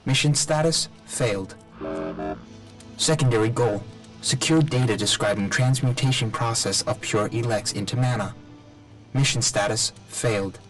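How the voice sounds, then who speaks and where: An actor playing an emotionless military robot drone in a video game, giving literal, clipped status reports. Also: male voice, mid-range voice, natural voice, low-quality recording.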